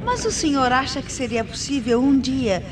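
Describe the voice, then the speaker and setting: A woman's voice: high-pitched, big pitch swings, normal speed, recorded from a distance, background noise. A woman playing a polite, timid and curious listener in a radio drama. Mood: shy wonder. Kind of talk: 1990s radio drama acting.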